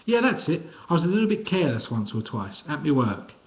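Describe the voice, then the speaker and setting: A man's voice: fairly high pitch, big pitch swings, brisk, dull audio, pitched above the performer's own voice. A man voicing a scrawny, sniggering old male petty crook in a storybook reading. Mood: chummy.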